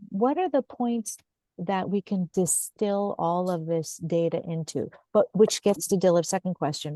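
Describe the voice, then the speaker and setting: A woman hosts a webinar over a video call, her voice low-pitched and mellow with a dry, close sound. She thinks aloud and steers the talk with questions to her guests. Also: dry recording. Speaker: host. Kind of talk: webinar discussion.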